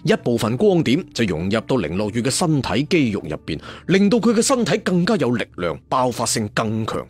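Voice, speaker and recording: A man's voice: medium pitch, huge pitch swings, fairly quick, few pauses, breathy edge. A man with a Cantonese accent telling a serial fantasy story theatrically, with conversational asides and emphatic endings. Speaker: storyteller narrator.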